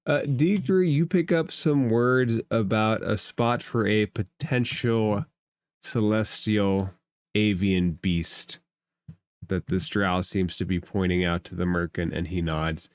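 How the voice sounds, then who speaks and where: A man narrating a tabletop role-playing game as game master, with a measured, halting delivery. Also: lowish voice, no room sound, clean studio sound.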